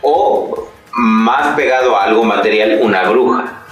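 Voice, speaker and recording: A man's voice: lowish voice, measured pace, heavy reverb, recorded from a distance, over loud music, poor audio. A man with a Mexican accent hosting a casual chat, joking and rambling with lots of fillers. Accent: Mexican Spanish. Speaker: podcast host.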